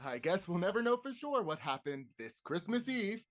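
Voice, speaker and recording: A man's voice: high-pitched, sing-song, normal speed. A man playing an insistent television news anchor in a live comedy table read. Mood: on-air insistence.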